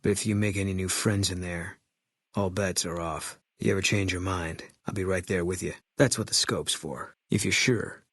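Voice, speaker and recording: A haunted ex-soldier sniper from a video game, speaking in a deep, husky voice. He is terse and stoic, with a grim fatalism in his delivery. The sound is dry and close. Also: steady tone, moderate pace, a bit bright, dry recording, full character voice. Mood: grim, guarded. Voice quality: low, husky. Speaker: video game companion character.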